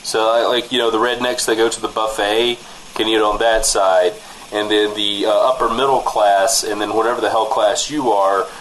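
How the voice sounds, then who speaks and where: A man's voice: lowish voice, flat delivery, normal speed, fairly bright tone, a little hiss or hum, close to an everyday voice, low-quality recording. A man playing the exasperated straight-man host of a comedy radio show, dry and a bit stumbling. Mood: patient explaining. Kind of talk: comedy talk-show banter.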